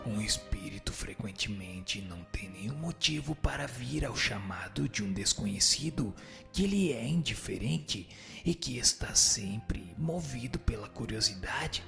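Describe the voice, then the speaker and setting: A man playing a calm, firm spirit teacher who gives measured, didactic answers in a scripted reading, with a breathy, gravelly voice. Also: lowish voice, exaggerated voice. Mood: solemn, instructive. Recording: music bed under the voice.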